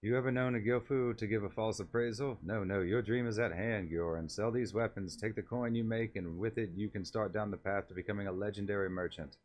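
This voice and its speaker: A man voicing an encouraging, seasoned catfish-like appraiser creature from a game, in a measured, approving manner. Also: fairly low pitch, darkish tone, close to an everyday voice. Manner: measured, approving, repeats words. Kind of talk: voiced reading of game dialogue.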